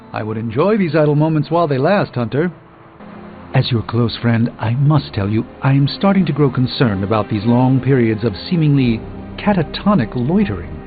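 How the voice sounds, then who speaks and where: A man playing a proud, wry sorcerer and mentor in a video game, speaking in a formal, articulate, dryly pompous way. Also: a little high. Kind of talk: scripted video game dialogue. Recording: phone-like narrow band, music behind.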